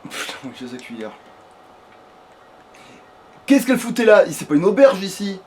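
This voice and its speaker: A man's voice: high-pitched, sing-song, normal speed, a little mellow. A man giving casual, grumbling commentary as he plays a video game, swearing freely. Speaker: gamer playing in a let's play. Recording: noisy home game recording.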